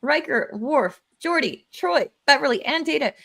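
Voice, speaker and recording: Female narrator, higher register, informal online table-read delivery of stage directions. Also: expressive, moderate pace, vocal fry, bright, forceful tone. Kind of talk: casual live table read, lively narration.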